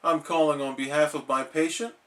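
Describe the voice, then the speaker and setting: A man's voice: fairly high pitch, steady tone, brisk, fairly bright tone, natural voice. A man voicing a dim, overconfident male cartoon starfish who plays at being a doctor, emphatic and self-important. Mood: confident.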